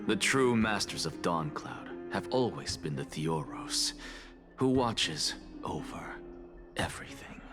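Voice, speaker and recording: An actor playing a proud, sardonic scholar in a video game, speaking in a measured, theatrical and dryly knowing way. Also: male voice, low voice, lively intonation. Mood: cool, knowing. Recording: background music behind the voice.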